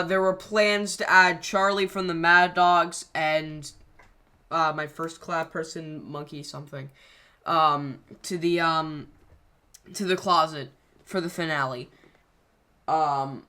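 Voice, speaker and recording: A channel creator casually explaining the plan for a scrapped series finale, rambling with frequent pauses and restarts. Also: mid-range voice, sharp tone, clear, resonant tone. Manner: hesitant, frequent ums and restarts.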